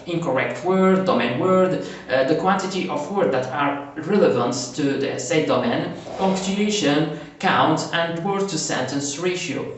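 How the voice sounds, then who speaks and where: A man's voice: a little high, slight background noise. Guy reading his slides, lots of uh's and restarts, mic far off. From Morocco. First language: Arabic. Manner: halting, frequent "uh" fillers and self-corrections. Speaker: conference presenter. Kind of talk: reading a prepared research presentation. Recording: very echoey, distant mic.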